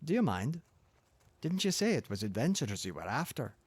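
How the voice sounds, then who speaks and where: A man's voice: medium pitch, sing-song, normal speed, darkish tone, husky, dry recording. Man voicing a kindly old Scottish news editor. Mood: wry.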